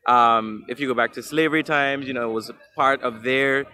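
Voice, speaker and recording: A man from Guyana and Anguilla gives thoughtful answers in a bright, mid-pitched voice. He pauses with ums now and then as a guest in a casual street interview. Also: steady tone.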